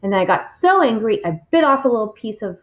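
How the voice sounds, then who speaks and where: A woman's voice: on the high side, very animated, fast talker, dull audio. A woman reading aloud expressively, in the style of a literary read-along.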